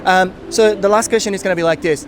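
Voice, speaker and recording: A young man with a thick Japanese accent, interviewing a passer-by outdoors in slow, careful English. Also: high voice. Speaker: host. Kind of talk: street interview. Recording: street noise behind.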